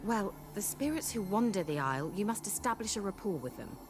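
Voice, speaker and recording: An actress playing a vain, sharp-tongued sorceress in a video game, speaking in a haughty, wry, coaxing manner. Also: female voice, mid-range voice, animated, brisk, lightly acted. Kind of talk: video game cutscene acting. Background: game soundtrack music.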